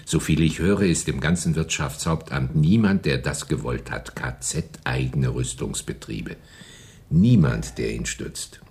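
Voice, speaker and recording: Casting: knowing, disapproving Nazi official, radio play; exceptionally low, grave bass. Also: male voice, measured pace, a little mellow. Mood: grave, sardonic. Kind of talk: German radio drama acting.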